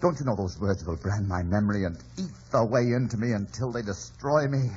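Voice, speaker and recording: An actor playing a bitter, passionate, tormented lover, intense and theatrical, in radio drama style. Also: male voice, fairly low pitch, fairly quick, a little mellow, a little hiss or hum, natural voice. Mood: anguished. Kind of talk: 1950s radio drama acting. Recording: dull old radio broadcast, music behind.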